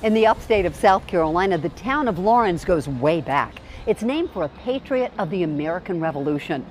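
A woman's voice: lowish voice, animated, brisk, a little hiss or hum. A woman presenting a news programme, reading a scripted introduction to a feature.

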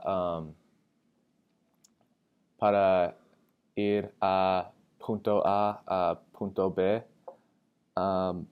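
An eighteen-year-old American man, a native English speaker, giving a halting self-introduction in learner's Spanish and searching for words. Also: deep voice, a little mellow, clear, resonant tone. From Atlanta, United States. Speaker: college student. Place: indoor room with tall windows. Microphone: webcam framing with wired earphones.

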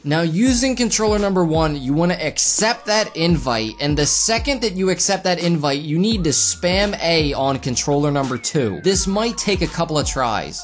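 A young man giving a casual, step-by-step gaming tutorial as a voice-over. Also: a little high. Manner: instructional, step by step. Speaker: gaming video host. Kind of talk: casual scripted-sounding tutorial narration over gameplay. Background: music behind the voice-over.

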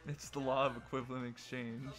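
A man's voice: medium pitch, little pitch movement, fairly quick. A man joking casually as he plays a video game with friends, giggly and mock-dramatic. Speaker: player in a group video game match. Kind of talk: casual, jokey gameplay banter.

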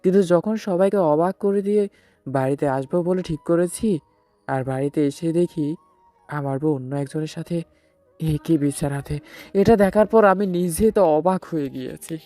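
A man performs a heartbroken husband narrating a dramatic love story. His voice is mellow and a little high for a man, earnest and sorrowful, recorded close and dry with music behind it. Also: normal speed, dry recording, close to an everyday voice. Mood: sorrowful, shocked. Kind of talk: dramatic first-person audio story narration.

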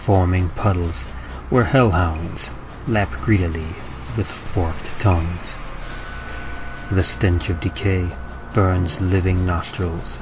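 A deep-voiced man narrates horror in a measured, dramatic way. He reads slowly with deliberate pauses, over clear background noise. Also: steady tone, highs cut off. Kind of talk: dramatic scripted horror reading.

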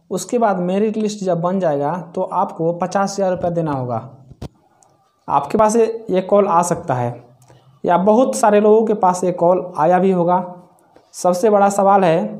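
A man's voice: high voice, a little mellow. A man, presenting a warning straight to camera. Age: 20s. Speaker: video presenter. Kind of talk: scam warning addressed to camera.

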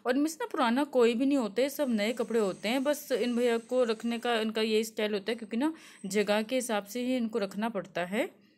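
A woman's voice: a little high, steady tone, brisk, darkish tone. A young woman from Delhi filming a walking tour of a street market on her phone, showing clothes and prices to her viewers in a chatty way, mixing in English words and many fillers. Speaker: shopping vlogger. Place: crowded outdoor street market. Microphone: handheld phone, speaker off-camera.

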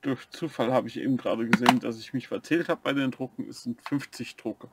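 A man co-hosting a building-set review, explaining the features step by step in a relaxed casual way. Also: medium pitch, a little fast, noisy background. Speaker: co-host of a brick-building review channel.

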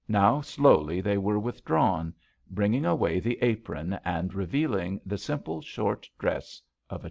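A man narrating a literary story in a measured, dramatic storytelling manner. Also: lowish voice, gravelly, a little mellow. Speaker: audiobook reader. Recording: slightly dull, lo-fi audio.

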